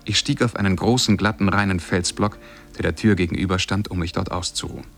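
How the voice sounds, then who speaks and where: An actor playing a lovestruck, shy young man who tells his tale in measured, poetic German radio drama style. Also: low-pitched, fairly even intonation, vocal fry, a bit bright, soft background music. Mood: lyrical, longing. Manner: measured, poetic, careful diction.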